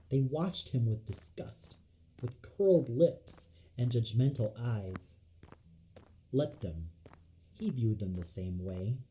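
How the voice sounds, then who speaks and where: A man's voice: medium pitch, dark tone, slight background noise, low-quality recording. A man reading dramatic scripted narration for an audio play. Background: music.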